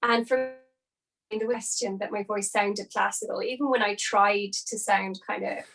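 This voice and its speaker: A woman's voice: medium pitch, steady tone, normal speed, gravelly, a bit bright, faint music behind, poor audio. A young woman, an opera singer, chatting as a podcast guest and explaining things with lots of hedging fillers. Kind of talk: casual interview chat.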